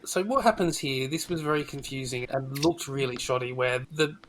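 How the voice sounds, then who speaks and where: A man's voice: mid-range voice, normal speed, a bit bright, slight background noise, low-quality recording. A man debating films casually on a podcast panel, with a sceptical way of talking.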